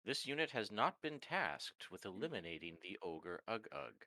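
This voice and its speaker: A man's voice: fairly low pitch, sing-song, normal speed, sharp tone, no echo, exaggerated voice. A man playing a clipped robotic warforged. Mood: flat, methodical. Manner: clipped, announces each statement type. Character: terse robotic warforged construct, male. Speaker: player.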